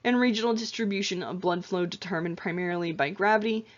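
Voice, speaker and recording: A woman instructor talking through lecture slides in a casual, chatty way with lots of fillers and self-corrections, her voice getting tired and hoarse. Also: lowish voice, quick pace, highs cut off. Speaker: anatomy and physiology instructor.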